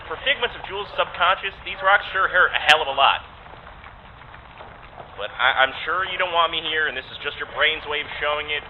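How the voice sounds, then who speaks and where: A thin, phone-like signal carries a male actor as an uneasy young man. He is sardonic and halting, talking his way through a strange place in an audio drama, with faint noise behind him. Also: a little high, lightly acted. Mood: uneasy, defiant. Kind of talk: naturalistic audio drama acting. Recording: tinny phone-like filter with background noise.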